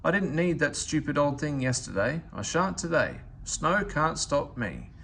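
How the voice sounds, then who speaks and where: A man's voice: on the high side, very animated, a little slow, fairly bright tone, slight background noise, heavily acted. A man voicing a cheeky, stubborn young steam engine from a children's storybook, huffy and boastful. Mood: scornful, boastful.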